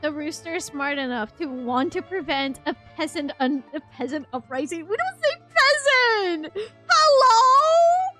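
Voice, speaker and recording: High-voiced expressive female streamer. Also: measured pace, over music. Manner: slangy, expressive, giggly. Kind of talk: casual live game reaction commentary.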